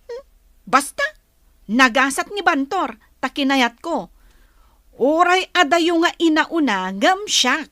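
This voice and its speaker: A woman's voice: high-pitched, very animated, takes it slowly, long pauses, pushed and bright, no echo, studio quality, heavily acted. A woman with an Ilocano accent playing a defiant, selfish young wife who whines and argues in a radio drama. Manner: whiny, argumentative.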